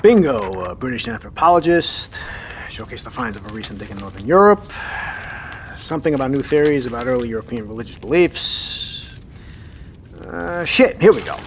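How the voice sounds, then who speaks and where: An actor playing a decisive, gruff navy submarine captain in an audio drama, with commanding, clipped, profane delivery. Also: male voice, mid-range voice, animated, moderate pace, slight background noise, natural voice. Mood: brisk, probing. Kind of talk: scripted audio drama acting.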